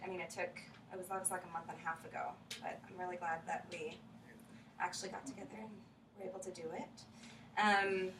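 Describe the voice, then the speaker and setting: A woman casually introducing a play, chatty and effusive, telling it in an animated way. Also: on the low side. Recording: roomy, distant mic, faint noise.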